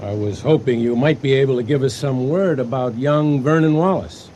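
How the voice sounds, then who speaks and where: An actor playing a brisk, commanding yet courteous young Coast Guard officer in an old-time radio drama. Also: male voice, mid-range voice, dark tone, natural voice. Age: young adult. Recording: noisy old radio recording.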